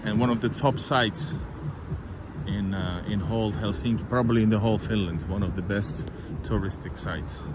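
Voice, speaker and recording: A man whose first language is Russian rambles through a live tour on a city street, his fairly low voice full of hesitations and asides to the viewers. Loud traffic runs under everything he says. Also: moderate pace. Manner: rambling and hesitant, many fillers, keeps addressing viewers. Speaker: host giving a live walking tour. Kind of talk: live-streamed walking tour chat.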